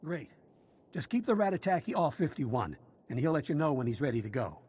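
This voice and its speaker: An actor playing a frustrated, battle-worn prison officer in a video game, brisk and practical. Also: male voice, on the high side, big pitch swings, a little mellow, slightly rough. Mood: businesslike. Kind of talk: video game character acting.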